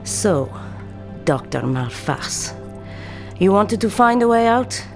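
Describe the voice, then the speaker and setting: An actress in an audio drama playing a guarded, defiant captive scientist with a terse, dry delivery. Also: female voice, medium pitch, sing-song, slow pace, over music. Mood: quietly resolved.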